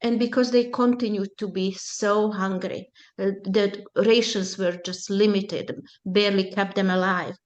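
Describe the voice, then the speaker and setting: A woman in her seventies, Hungarian by first language, speaks at an unhurried pace. She recounts a personal testimony in a measured, deliberate way. Her voice sits at a middle pitch. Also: steady tone. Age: 70s. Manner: slow, deliberate storytelling. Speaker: presenter at a support group.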